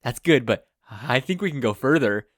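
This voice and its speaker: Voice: mid-range voice, animated, moderate pace, no echo, clean studio sound, close to an everyday voice. A performer voicing a brash, cheeky male ghost who flippantly eggs others on toward violence. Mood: goading. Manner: egging on, flippant.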